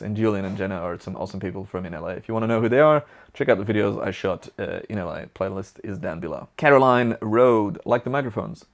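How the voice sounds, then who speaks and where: A young man from Belgium with a Flemish accent, a native Dutch speaker, answering viewer questions in a chatty, upbeat and rambling way. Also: on the low side. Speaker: vlogger.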